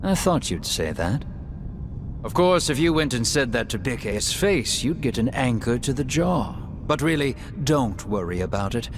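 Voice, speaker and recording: A man's voice: mid-range voice, sing-song, unhurried, noisy background. A man playing a theatrical, teasing storyteller and schemer in a video game, with flowery, mock-grand delivery. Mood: playful, sly. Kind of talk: video game cutscene acting.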